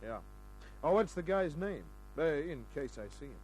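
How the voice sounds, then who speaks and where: An actor playing a cool, sardonic private detective in an old-time radio crime drama. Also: male voice, on the high side, lively intonation, moderate pace, darkish tone, faint music behind, lightly acted, poor audio. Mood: dry, mocking. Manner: dry, sardonic, unruffled.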